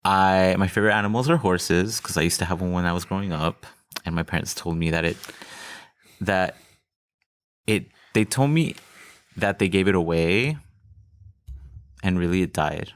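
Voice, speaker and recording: A man's voice: low voice, normal speed, long pauses. A man from Mexico co-hosting a podcast in casual chat, rambling through asides and laughing easily. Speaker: podcast co-host.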